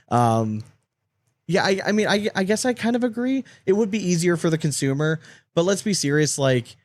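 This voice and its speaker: A man's voice: sits high, animated, moderate pace, pauses often, no echo. A man co-hosting a casual sports podcast, talking in a rambling way and repeating phrases for emphasis. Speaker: podcast co-host.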